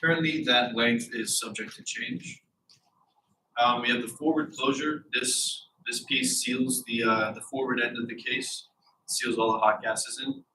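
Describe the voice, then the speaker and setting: A man's voice: medium pitch, steady tone, unhurried. A young man with a congested voice presenting his team's rocket motor design in a classroom. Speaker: propulsion team lead. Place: lecture classroom. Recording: echoey room, distant, noisy.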